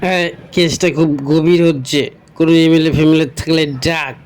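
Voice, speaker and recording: A man's voice: on the high side, fairly even intonation, moderate pace, soft background music, lightly acted. A man voicing a foolish, complaining drunkard in a comic cartoon dub, coarse and pleading. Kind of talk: comic Bengali cartoon dubbing.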